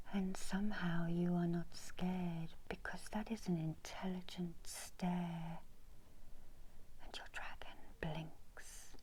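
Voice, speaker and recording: Middle aged lady whispering a guided meditation, low and breathy, soothing, bit of background noise. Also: low-quality recording. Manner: slow, soothing, hushed, with long pauses. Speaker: meditation guide and Reiki healer. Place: small indoor room.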